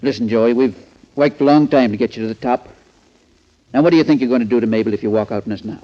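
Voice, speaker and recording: A man's voice: medium pitch, fast, lightly acted. An actor playing an anxious, fatherly older boxing trainer in a 1940s radio drama, earnest and pleading. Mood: coaxing. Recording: muffled old 1940s radio recording.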